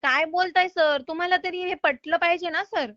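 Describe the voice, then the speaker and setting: A woman's voice: extremely high pitch, fairly even intonation, moderate pace, fairly bright tone, no room sound. A woman making a loan recovery call in an insistent, polite but exasperated manner. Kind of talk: phone collection call. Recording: slightly dull phone-call audio.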